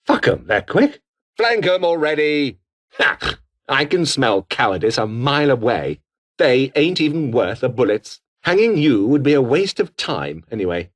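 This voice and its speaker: A British revolutionary foot soldier in a video game. His pitch leaps and drops dramatically, and he takes his time over the words. He is foul-mouthed, taunting and full of menace, with theatrical delivery. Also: big pitch swings, unhurried. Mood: menacing, rallying. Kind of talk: video game combat barks.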